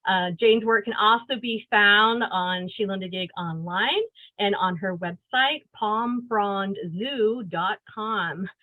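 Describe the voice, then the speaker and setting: A woman's voice: medium pitch, takes it slowly, sharp tone, dry recording, rough recording. A woman hosting and introducing a poetry reading over a video call, with small hesitations as she reads the introduction. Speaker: host and editor. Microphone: video call microphone.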